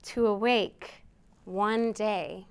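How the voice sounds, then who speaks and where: A woman's voice: medium pitch, fairly even intonation, very slow, clean tone. A woman hosting a storytime for young children, reading aloud expressively and prompting them with simple questions. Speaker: children's storytime host at a library.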